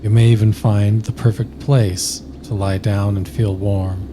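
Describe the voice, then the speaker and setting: A man narrating a scripted guided sleep meditation slowly and soothingly, with long pauses. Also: lowish voice, little pitch movement, noisy background.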